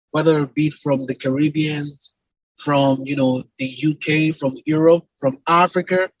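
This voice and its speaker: A man with a Nigerian accent talks at length in an interview, in a slightly high, husky voice. He explains things emphatically, stacking up repeated phrases in lists. The sound is dry and close, though a bit dull and lo-fi. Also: no room sound. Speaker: entrepreneur and company CEO, podcast guest.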